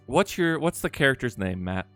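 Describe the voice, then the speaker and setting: A man on a headset runs a tabletop game over video call, his voice bright and lively with a lot of up and down. He is chatty and quick to laugh. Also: mid-range voice. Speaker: dungeon master.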